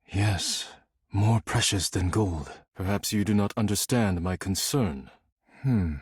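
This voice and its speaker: A stern, low-voiced dishware spirit. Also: male voice, slightly rough, dry recording, studio quality, full character voice. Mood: reserved, stern. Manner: formal, clipped, deadpan, disdainful. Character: stern, proud, formal male dishware spirit devoted to duty. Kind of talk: scripted video game character acting.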